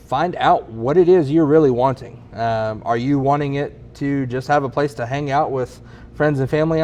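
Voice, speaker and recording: A man co-hosting a casual chat in a showroom, giving relaxed sales advice and filling his sentences with lots of "um" and "you know.". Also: mid-range voice. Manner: relaxed, many ums and you-knows. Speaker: sauna store staff co-host.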